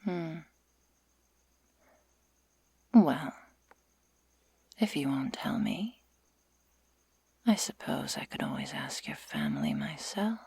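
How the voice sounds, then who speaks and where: A woman playing a sadistic, menacing werewolf girl in an ASMR roleplay, taunting in a breathy whisper with slow, mock-sweet deliberation. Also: extremely low pitch, huge pitch swings, dark tone, exaggerated voice. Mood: teasing, sinister. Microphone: close microphone.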